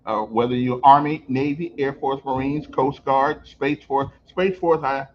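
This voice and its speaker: An older man hosting a casual on-location interview, telling stories in an animated way, repeating words and laughing as he goes. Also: medium pitch, measured pace, a little hiss or hum. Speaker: host. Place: on location at a veterans center. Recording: dull lo-fi recording.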